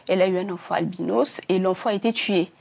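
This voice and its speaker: A woman from Africa, an author being interviewed, answering earnestly with many pauses and restarts. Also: fairly low pitch, creaky, a little mellow. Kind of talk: hesitant conversational interview answers.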